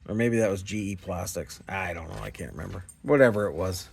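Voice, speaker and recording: A man's voice: low voice, fairly quick, creaky, darkish tone, slight background noise. A Canadian man talking in a casual, unscripted vlog style, rambling and hesitant in a self-effacing way. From Canada, small-town Ontario. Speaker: scrap recycling vlogger.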